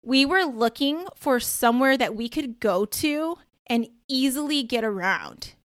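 A woman's voice: high voice, lively intonation, a little slow, fairly bright tone, dry recording. Chatty woman, close and dry. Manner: chatty, upbeat, full of asides and slang. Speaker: podcast host. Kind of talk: casual solo podcast talk.

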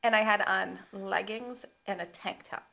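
A young woman co-hosting a podcast, chatting casually with a relaxed, rambling way of telling stories. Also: mid-range voice, moderate pace, vocal fry. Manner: relaxed, filler-heavy storytelling. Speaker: podcast co-host. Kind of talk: casual chat.

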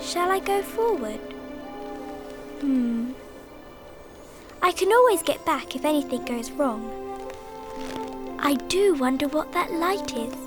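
High, wondering little girl. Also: lively intonation, slow pace, long pauses. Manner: wondering aloud in short questions. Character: curious young girl stepping into a magical wood. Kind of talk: fantasy radio drama acting. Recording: music under the voice.

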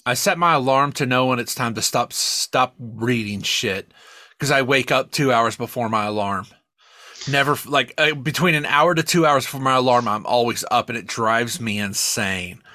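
A man co-hosting a podcast in casual banter, swearing freely and rambling in an animated way. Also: medium pitch, moderate pace, no echo, clean studio sound. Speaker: podcast co-host.

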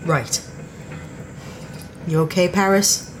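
A woman's voice: on the low side, slow, a little hiss or hum. A woman playing an anxious human space adventurer in a sci-fi audio drama, with a hesitant, stammering, awkward delivery. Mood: awkward, deflated.

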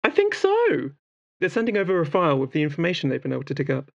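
Muffled comms voice, woman playing excitable low-voiced colleague. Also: quick pace, gravelly, heavily acted. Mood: excited, urgent. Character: excitable, friendly clinic colleague bursting with news. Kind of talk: audio drama dialogue. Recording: dull, band-limited comms sound.

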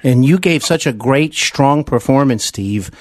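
A man's voice: medium pitch, expressive, unhurried, no room sound. A man hosting a conversational interview, admiring and meandering in how he talks. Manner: admiring, long-winded, meandering. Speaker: podcast host, interviewer.

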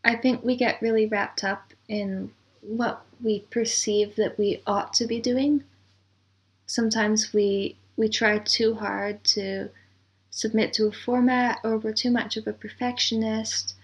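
A woman talks in a conversational interview. Her voice sits a little high and carries noticeable vocal fry. She lays out her thoughts in a reflective, explanatory way, as a podcast guest. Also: fairly even intonation, unhurried. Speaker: podcast guest, composer and audio producer.

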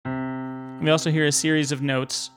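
A man, a music teacher and guest, speaking in a measured way with frequent "uh" fillers as he explains his work. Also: on the high side, flat delivery. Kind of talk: prepared guest introduction.

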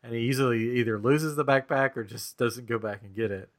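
A man co-hosting a podcast in casual conversation, telling things in an informal, halting way. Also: mid-range voice. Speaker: podcast co-host. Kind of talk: casual chat about comics, movies and games.

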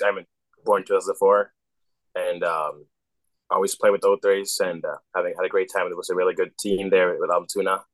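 Young man, lowish voice, dry close sound, polite and easygoing, video call. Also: no echo, rough recording. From Sweden. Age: about 20. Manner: polite, easygoing, with fillers and small restarts. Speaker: guest, junior hockey player. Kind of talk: casual interview answers. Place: basement room.

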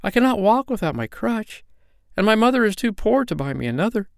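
A man voicing a timid, gentle little girl in a storybook, speaking politely and earnestly. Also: high voice, big pitch swings, moderate pace, clear, resonant tone, no room sound, studio quality, pitched above the performer's own voice. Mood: weeping.

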